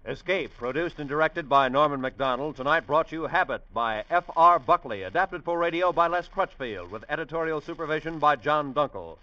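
A male radio announcer with a bright, somewhat high voice. He reads in a formal mid-century broadcast style. The recording is muffled. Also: lively intonation, a little fast, hardly any pauses.

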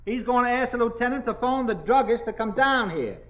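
Very high-pitched, patient policeman. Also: fairly quick. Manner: plain-spoken, steady, soothing. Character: patient, reassuring male policeman on night duty. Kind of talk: 1950s radio drama acting. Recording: dull 1950s radio broadcast recording.

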